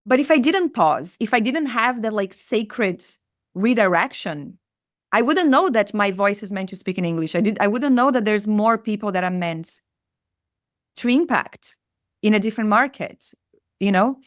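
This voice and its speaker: A woman with a Brazilian Portuguese accent speaks earnestly, often repeating her points for weight. Her pitch sits at a middle height for a woman. The sound is dry, with no room echo. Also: expressive, normal speed. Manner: earnest, repeats phrases for emphasis. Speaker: spiritual mentor guest. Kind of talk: reflective podcast conversation.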